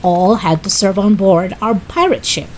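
A woman reading a children's chapter book aloud as narrator, expressive, dramatic and deliberate. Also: mid-range voice, a little hiss or hum, low-quality recording. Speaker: children's story reader. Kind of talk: expressive scripted storybook narration.

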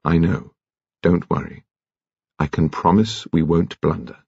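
A man playing a courteous English police detective in an audiobook, calm, probing and gently authoritative. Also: deep voice, husky, full character voice. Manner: polite, measured, gently authoritative. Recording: muffled, echoey room.

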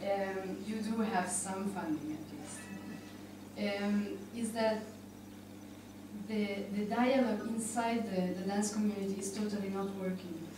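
A woman's voice: mid-range voice, steady tone, slow pace. A young woman from Argentina, speaking reflectively and tentatively in an informal discussion. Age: young adult. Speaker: panel participant. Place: indoor conference room. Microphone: handheld microphone. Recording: echoey room, distant, noisy.

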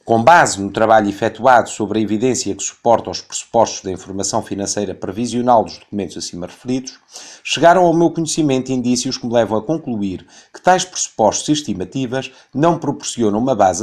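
A man in his thirties with a European Portuguese accent, reading a critical radio commentary and reciting long figures in a measured, careful way. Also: lowish voice, lively intonation, darkish tone. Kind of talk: scripted opinion commentary. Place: radio studio.

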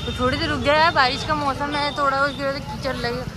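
Young woman, chatty vlogger, high-ish voice, loud car and street noise behind. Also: steady tone, fast. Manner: chatty, laughs often. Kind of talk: casual travel vlog chat. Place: in a car and outdoors on a road trip.

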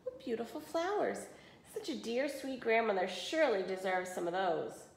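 Woman voicing a sly, high-pitched hungry wolf. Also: animated, moderate pace, vocal fry. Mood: sly flattery. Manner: crooning, scheming, theatrical. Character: sly, greedy, hungry male storybook wolf. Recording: roomy echo of a small room.

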